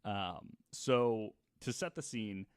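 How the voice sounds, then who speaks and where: A man's voice: lowish voice, slow pace, clean tone, no room sound. A man co-hosting a podcast, telling a story in a casual, jokey, conversational way with some laughing as he talks. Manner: jokey, laughs while talking. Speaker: podcast co-host telling a true crime story.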